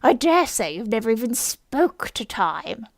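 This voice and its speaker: A woman's voice: on the high side, sing-song, measured pace, vocal fry, no room sound, studio quality, exaggerated voice. Lady doing a mad hatter guy for a storybook, huffy n mournful, big declamatory swoopy voice. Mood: contemptuous, boastful. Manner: declamatory, contemptuous, exaggerated swings. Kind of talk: storybook character voice.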